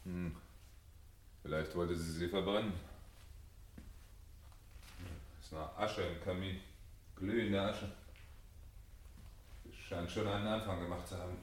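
An actor playing a domineering, sardonic fire captain in a radio drama, with a commanding, mocking manner. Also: male voice, low-pitched, steady tone, normal speed, pauses often, roomy sound, natural voice. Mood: cold, menacing. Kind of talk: 1970 German radio drama acting.

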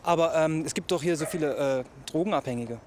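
A man's voice: on the high side, brisk, a little mellow. A man conducting spontaneous vox-pop interviews as a street reporter outdoors. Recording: very noisy outdoor street recording.

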